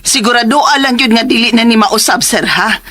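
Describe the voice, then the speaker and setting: Indignant, high, sharp-voiced mother. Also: moderate pace, slight background noise. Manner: confrontational, demanding. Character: indignant, protective mother of a schoolgirl. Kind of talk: radio drama acting.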